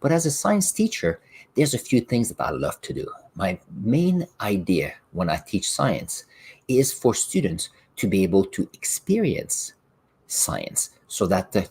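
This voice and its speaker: A middle-aged man whose first language is French talks through his ideas in a low, slightly husky voice. His pitch moves a lot as he explains, and he gives examples with the odd restart along the way. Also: darkish tone. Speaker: podcast host and science teacher. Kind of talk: solo podcast talk.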